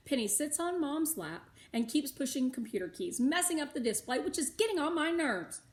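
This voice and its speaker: A woman with an American accent reading a storybook aloud for schoolchildren in an animated, emphatic way. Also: fairly high pitch. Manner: animated, emphatic, with occasional asides. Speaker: read-aloud narrator for schoolchildren. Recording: roomy, echoing indoor sound.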